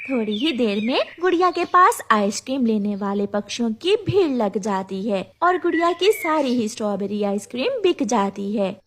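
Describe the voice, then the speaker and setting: A woman's voice: a little high, sing-song, moderate pace, few pauses. A woman narrating a scripted children's story.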